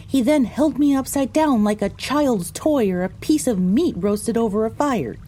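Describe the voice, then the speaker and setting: A woman's voice: a little high, a little slow, warm tone, slight background noise, natural voice. A woman narrating an audio drama in the first person as a frightened young female pony recounting her misadventure. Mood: dramatic recounting.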